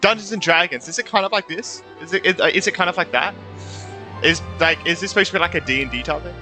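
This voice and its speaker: Bright, excitable young male streamer. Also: sits high, quick pace. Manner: rambling, excitable, swearing. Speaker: streamer playing a video game. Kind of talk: casual reaction commentary. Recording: game music faintly behind.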